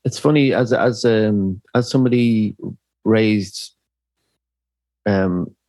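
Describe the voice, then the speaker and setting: A middle-aged Irish musician, speaking thoughtfully in a podcast interview, pausing often with fillers and restarts. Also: male voice, lowish voice, a little mellow, no room sound. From Ireland. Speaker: musician guest.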